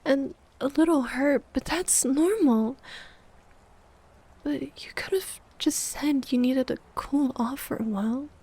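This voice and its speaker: A woman's voice: high-pitched, slow, dark tone. A woman playing a jealous, needy, wounded girlfriend in an ASMR roleplay audio drama, sighing and often dropping toward a whisper. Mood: hurt, guilt-tripping.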